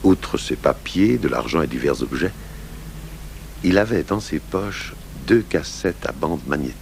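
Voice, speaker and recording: An actor playing a seasoned police commissioner who narrates an old case in a measured, reflective way for a radio drama. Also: male voice, animated, gravelly, darkish tone, full character voice. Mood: reflective, sombre. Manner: measured, reflective, literary phrasing. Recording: hissy 1980s radio recording, low hum.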